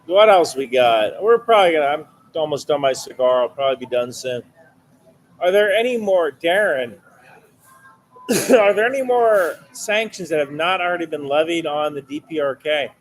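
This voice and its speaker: Relaxed man from Jersey at a bar. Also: fairly high pitch, moderate pace, slight background noise, low-quality recording. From New Jersey. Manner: relaxed, reads out viewer questions. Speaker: shipping channel host, guest. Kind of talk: casual bar conversation.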